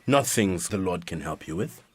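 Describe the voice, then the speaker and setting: An actor playing a solemn older South African pastor in a radio drama, giving measured counsel in a husky voice. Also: male voice, deep voice, lively intonation. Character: measured older male church pastor, remorseful father.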